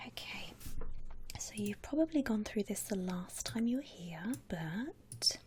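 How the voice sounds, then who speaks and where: A woman whispering a soothing ASMR customer-service roleplay, playing a polite, reassuring shop assistant in an unhurried, breathy way. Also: medium pitch, big pitch swings, a little mellow, a little hiss or hum.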